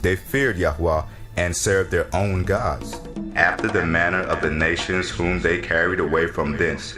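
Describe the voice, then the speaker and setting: A man's voice: low-pitched, fairly even intonation, a little slow, roomy sound, music in the background. A man narrating scripture as a drama, in a solemn, declamatory manner.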